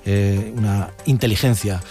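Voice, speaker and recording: A man's voice: fairly low pitch, fairly even intonation, a little slow, mellow, faint music behind. Male talk-show host. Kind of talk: radio talk show hosting.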